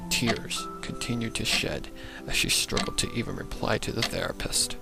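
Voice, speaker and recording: A man's voice: lowish voice, steady tone, moderate pace, creaky. A man reading a scripted dramatic story as its narrator. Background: loud background music.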